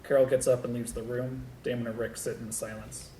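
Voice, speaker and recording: Even-toned man narrating in an echoey room. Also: a little fast. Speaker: narrator of stage directions. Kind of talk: scripted narration at a table read. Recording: echoey room with low rumble.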